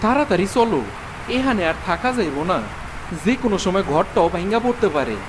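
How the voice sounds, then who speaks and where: A man's voice: high voice, lively intonation, fairly quick, background noise. A man playing a poor, weary village husband in a cartoon, speaking plaintive, humble rural Bangladeshi Bengali. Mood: urgent. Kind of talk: cartoon dialogue acting.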